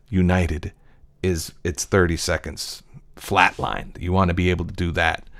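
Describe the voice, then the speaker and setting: A man's voice: deep voice, fairly bright tone, smooth, no room sound, studio quality. A male voice-over actor talking in a casual, digressive solo monologue from his desk. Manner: digressive, reflective storytelling.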